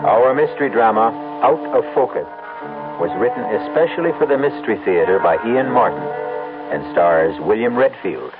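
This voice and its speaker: A man's voice: medium pitch, expressive, normal speed, over loud music. A man narrating and hosting a 1970s radio mystery drama in a theatrical, ominous way. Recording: tinny lo-fi old radio broadcast.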